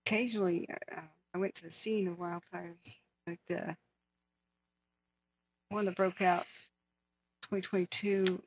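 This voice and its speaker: A woman hosting a wildfire tracking livestream, hoarse from hours of talking, speaking earnestly and answering viewers by name. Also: lowish voice, fairly even intonation, a little slow, long pauses, lo-fi sound. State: hoarse, losing her voice. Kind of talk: casual livestream chat.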